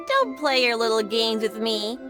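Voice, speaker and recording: A woman playing a teasing, familiar young woman, like a bossy older cousin, in an anime video game. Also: a little high. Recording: music playing behind. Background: background music.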